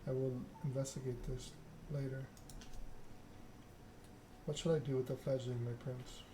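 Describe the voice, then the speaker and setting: A man reads a narrative game aloud as ASMR, hushed and measured. His pitch sits in the middle and moves very little. There is clear noise behind him. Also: flat delivery. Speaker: video game player reading the story aloud. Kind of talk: ASMR game read-along, narration with dialogue.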